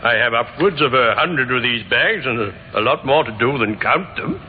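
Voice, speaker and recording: An actor playing a defensive but obliging shop owner in an old radio drama, giving brisk, emphatic assurances. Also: male voice, medium pitch, lively intonation, close to an everyday voice. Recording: tinny, echoing, noisy old radio recording.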